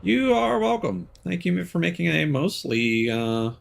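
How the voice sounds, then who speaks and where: A man's voice: fairly high pitch, big pitch swings, a little slow, gravelly, slight background noise. A man streaming a video game, reacting casually and swearing now and then, with many fillers and restarts. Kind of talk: live gameplay commentary.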